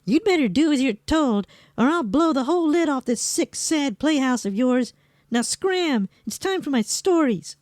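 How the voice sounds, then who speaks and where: A woman's voice: sits high, animated, moderate pace, a little mellow, no echo, clean studio sound. A woman playing a brash, foul-mouthed, impatient nag in a comedic audio drama, brusque and colloquial. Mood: bossy, irritated.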